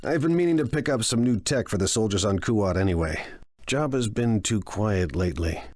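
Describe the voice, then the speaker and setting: An actor playing a smug, scheming crime lord in a video game, wry, theatrical and sure of himself. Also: male voice, lowish voice, animated, moderate pace, darkish tone, natural voice. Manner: wry, self-assured, theatrical.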